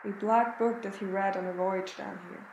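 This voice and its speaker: A woman acting as a frightened Antarctic expedition member in a radio drama, speaking a halting, brooding journal as dread takes hold. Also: medium pitch, fairly even intonation, close to an everyday voice. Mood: uneasy wonder. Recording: roomy echo with clear background noise.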